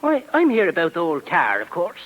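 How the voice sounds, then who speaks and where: A man playing a roguish Irish crook in a 1950s radio drama, with an Irish accent, a husky voice and a folksy, sly lilt. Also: sits high, huge pitch swings, brisk, a bit bright, exaggerated voice. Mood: sly, blithe. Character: roguish Irish crook who chases stolen loot. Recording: vintage 1950s radio recording.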